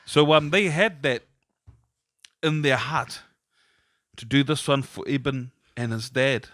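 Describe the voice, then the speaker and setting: Mid-pitched New man chatting casually. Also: slow, a bit bright, no echo, clean studio sound. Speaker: podcast co-host. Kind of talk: casual sports chat.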